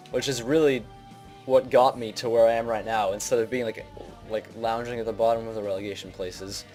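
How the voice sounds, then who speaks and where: A man's voice: mid-range voice, a little mellow. A young man giving casual, unscripted video game commentary in an informal, hesitant and self-deprecating way. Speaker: gaming video host.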